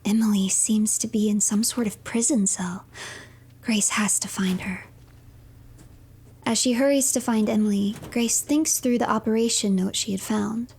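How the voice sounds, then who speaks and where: A woman narrating a game story from a script, breathy and often close to a whisper. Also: medium pitch, fairly even intonation, moderate pace, a little mellow.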